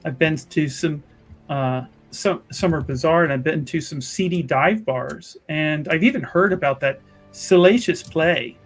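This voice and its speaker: A man voicing a polite, effusive woman who serves as a church official, speaking in a halting, genteel way. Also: a little high, faint music behind.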